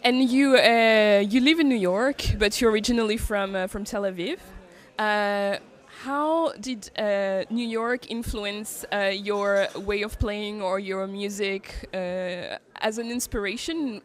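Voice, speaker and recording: A woman's voice: medium pitch, takes it slowly. French-accented woman interviewer. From French-speaking. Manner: searching for words, many fillers. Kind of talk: interview questions.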